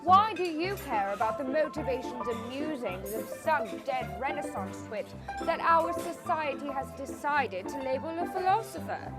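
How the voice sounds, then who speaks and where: High, teasing society belle acted by a woman. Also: animated, moderate pace, hardly any pauses. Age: young adult. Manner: theatrical, teasing. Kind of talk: scripted radio drama acting. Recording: loud music behind the voice.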